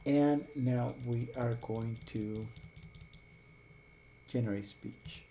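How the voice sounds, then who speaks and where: A man hosting a software tutorial, explaining each step while thinking aloud. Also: mid-range voice, drawn-out words, slight background noise. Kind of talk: screen-recorded tutorial.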